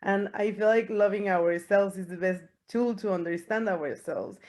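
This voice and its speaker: Woman moderating a panel, mid pitch, earnest, asks lots of what are we doing questions. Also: moderate pace, darkish tone. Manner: earnest, uses rhetorical questions.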